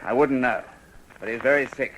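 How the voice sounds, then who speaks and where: An actor with an Australian accent playing a firm, dogged police detective, terse and questioning, in an old radio crime drama. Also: male voice, mid-range voice, normal speed, a bit bright, slight background noise, close to an everyday voice, low-quality recording. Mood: firm, sober.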